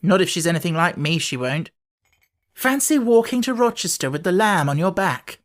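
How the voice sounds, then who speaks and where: A woman's voice: lowish voice, big pitch swings, normal speed. A woman voicing a grumpy, blunt schoolboy in a storybook, with a snappish, brusque manner. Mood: scornful.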